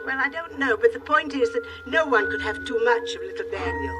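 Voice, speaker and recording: A fond, genteel lady, acted by a woman with a fairly high, very animated voice. She is effusive and full of endearments, in children's television style. She sounds slightly off-mic, with music around her. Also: fairly quick, recorded from a distance, natural voice. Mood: astonished, fond. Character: affectionate, genteel lady visitor, fond and easily astonished. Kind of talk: children's television acting with puppets.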